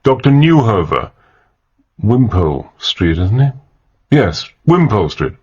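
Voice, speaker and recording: An actor playing a British gentleman adventurer, dry and weary, in a radio drama that he also narrates in the first person. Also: lowish voice, very animated, a little slow, slightly rough, lo-fi sound, full character voice. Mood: matter-of-fact.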